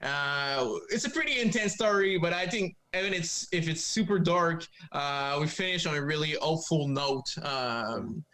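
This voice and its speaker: A young man from Quebec with a French-Canadian accent, speaking English as a second language, chatting haltingly in a remote podcast interview. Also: a little high, animated, sharp tone. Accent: Québécois French. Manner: halting, many fillers and restarts. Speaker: guest comic writer. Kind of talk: casual interview chat.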